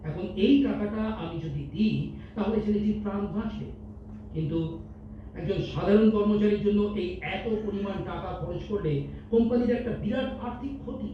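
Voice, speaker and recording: A man acting a troubled, soft-hearted managing director in a staged audio drama, speaking emotionally with dramatic pauses. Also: high voice, a little hiss or hum, natural voice. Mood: anxious, torn. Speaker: amateur stage actor in an audio drama competition. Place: multipurpose hall stage. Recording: echoey hall, distant mic, dull sound.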